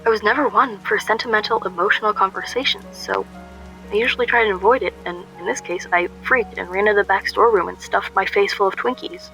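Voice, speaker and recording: A woman's voice: medium pitch, fairly quick, pushed and bright, soft background music, rough recording. A woman playing an anxious, guarded young shop worker, narrating a radio drama in a wry, confessional way. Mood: wry, uneasy narration.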